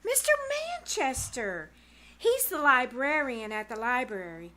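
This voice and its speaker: A woman's voice: on the high side, huge pitch swings, unhurried, bright, forceful tone, heavily acted. A woman playing a dramatic, girlish 1940s teenage daughter in exclamatory old-time radio comedy style. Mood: eager. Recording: noisy live theater recording.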